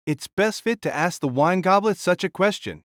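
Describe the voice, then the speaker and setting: A man acts out a big, jovial conqueror king in an audio drama. He talks with animated swings in a slightly high male voice, easygoing and boastful. The sound is dry. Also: normal speed, no echo. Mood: playfully grand. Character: jovial giant warrior king, hearty and unbothered. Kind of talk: audio drama character reading.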